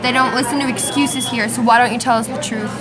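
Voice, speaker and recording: Brief: female actor as self-justifying imprisoned mother, amateur radio drama, slightly high voice with clear music underneath. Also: steady tone, quick pace, a loud crowd, natural voice, low-quality recording. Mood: indignant. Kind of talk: amateur radio play acting.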